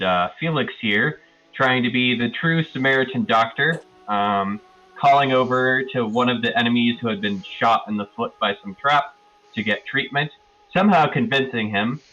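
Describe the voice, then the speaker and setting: A man's voice: medium pitch, pushed and bright. A man who plays in a tabletop roleplaying game, giving a casual, hesitant recap from memory on slightly dull audio. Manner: hesitant, loosely recalling, hedging.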